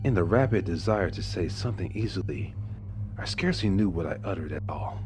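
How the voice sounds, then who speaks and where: Man playing a low-voiced, deranged gothic murderer. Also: animated, fairly quick, noisy background. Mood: falsely jovial bravado. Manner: theatrical, formal, brooding, drops into hushed whispers. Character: deranged, smug murderer confessing his crime. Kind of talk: dramatic horror narration of a classic gothic tale.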